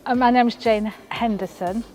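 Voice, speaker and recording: A woman's voice: a little high, steady tone, measured pace, a little mellow, faint music behind, low-quality recording. A woman talking casually in an interview as an amateur player. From Yorkshire, England. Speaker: amateur wheelchair rugby player.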